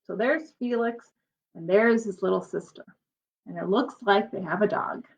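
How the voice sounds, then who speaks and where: A woman's voice: mid-range voice, normal speed, creaky, poor audio. A woman reading a picture book aloud like a teacher, expressively and with simple explanatory asides for young children.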